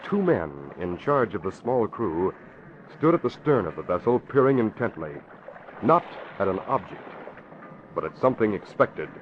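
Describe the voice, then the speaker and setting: A man's voice: lowish voice, sing-song, normal speed, slight background noise. A man narrating an old-time radio drama in a solemn, suspenseful style. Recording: dull vintage radio recording.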